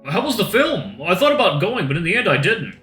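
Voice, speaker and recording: A man's voice: high voice, very animated, fairly quick, fairly bright tone, pitched above the performer's own voice. A man voicing a friendly young male camp-goer who talks in a chatty, easygoing way. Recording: roomy echo with faint guitar music.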